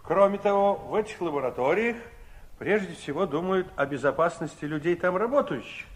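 An actor playing a calm, kindly older man, soothing and gently wry, in a 1960s radio play. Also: sits high, a little fast. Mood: calm, reasoning. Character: calm, kindly older man, reassuring family friend and storyteller.

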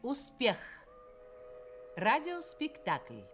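Very slow-paced woman radio announcer. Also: a little high, huge pitch swings, a bit bright, faint music behind. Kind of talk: scripted radio play credits announcement.

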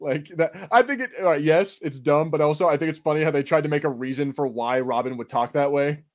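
A man's voice: fairly high pitch, brisk. A man co-hosting a casual movie watch-party podcast, bantering and swearing freely. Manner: frequent swearing. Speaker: podcast co-host.

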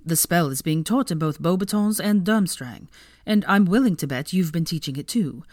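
A woman's voice: lowish voice, moderate pace, a little mellow, no room sound, clean studio sound, close to an everyday voice. A woman reading an audiobook, voicing a smug, self-justifying young male wizard in a dismissive, matter-of-fact way. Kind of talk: dramatic fan fiction audiobook reading.

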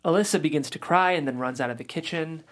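A reader calmly reading stage directions aloud at a table read. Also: medium pitch, fast. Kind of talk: scripted narration.

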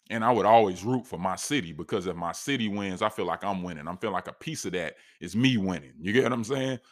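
Deep-voiced man in his thirties. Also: a bit bright, dry recording, clean studio sound. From North Carolina, US. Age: 30s. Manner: rambling, conversational, many restarts and asides. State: scratchy voice, sipping tea. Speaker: sports fan commentator. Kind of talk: casual monologue.

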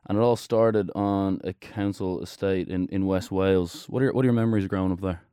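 Deep fast-talking man. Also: fairly even intonation, mellow, smooth, no room sound, clean studio sound. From Dublin, Ireland. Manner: chatty, slangy, quick questions. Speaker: podcast host. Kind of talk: casual interview chat.

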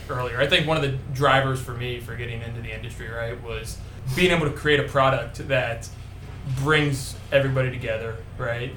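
A man who works as a brewer, answering in a casual interview and talking haltingly, with many restarts. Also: medium pitch, a bit bright. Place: brewery taproom. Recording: echoey room, faint noise.

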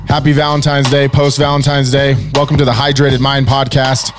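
A man in his forties hosting a casual podcast, talking loudly and energetically, joking and using fillers. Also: mid-range voice, monotone, moderate pace, music in the background. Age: 40s. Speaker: podcast host. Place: podcast studio. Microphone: studio mic with headphones.

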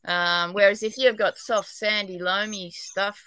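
A woman's voice: medium pitch, steady tone, measured pace, sharp tone, smooth, dry recording. An Australian woman from New South Wales, a psychic and dowser, chatting in a rambling, talkative way and laughing often. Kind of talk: casual podcast chat.

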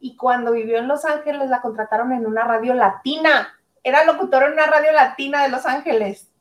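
A woman's voice: sits high, fairly quick, echoey room. A woman guest chatting casually about celebrity gossip, rambling with fillers. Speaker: guest commentator.

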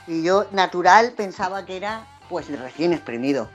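A woman's voice: on the low side, animated, moderate pace, faint music behind. A woman from Madrid with a Madrid Spanish accent tinged with Valencian, chatting casually as a podcast guest, laughing often and poking fun at herself. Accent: Madrid Spanish, with some Valencian influence. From Madrid, Spain. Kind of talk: casual chat.

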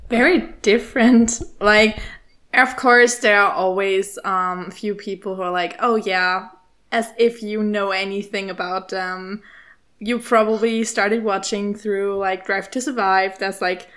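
A woman's voice: mid-range voice, fairly even intonation, a little slow, fairly bright tone. A woman in her twenties from Austria, chatting casually as a guest in an interview, with many fillers and frequent laughs. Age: 20s. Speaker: podcast co-host, guest.

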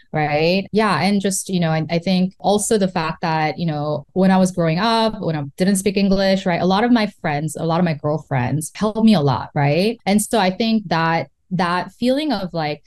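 A woman's voice: fairly low pitch, a little mellow. A woman in her thirties from South Korea, chatting casually as an interview guest and often tagging "right?" onto her points. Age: 30s. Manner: chatty, many fillers like "right?" and "you know". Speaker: guest, real estate investor.

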